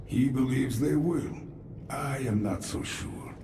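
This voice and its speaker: A man playing a grave, haunted warrior adviser in a video game, measured and ominous. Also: medium pitch, background noise, close to an everyday voice. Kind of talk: video game cinematic acting. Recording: echoing, distant, music behind.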